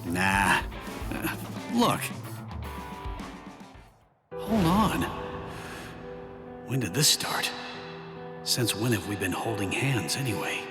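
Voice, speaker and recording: An actor playing a brash, hot-tempered young swordsman in an anime game dub, talking bluntly and gruffly. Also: fairly low pitch, sing-song, takes it slowly, long pauses, music in the background. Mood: awkward, subdued.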